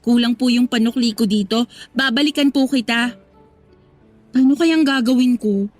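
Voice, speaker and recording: A woman's voice: fairly high pitch, moderate pace, dry recording, lightly acted. A woman playing a young cashier in a Tagalog radio drama, deferential and hesitant in manner. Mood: polite, busy. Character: young female cashier, eager, polite and nervous. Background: music.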